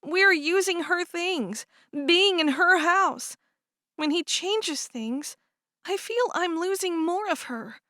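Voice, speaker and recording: A woman's voice: sits high, big pitch swings, moderate pace, sharp tone, no room sound, clean studio sound, pitched above the performer's own voice, exaggerated voice. A woman reading aloud and voicing an upset, stubborn teenage farm girl who talks in a sulky, indignant way.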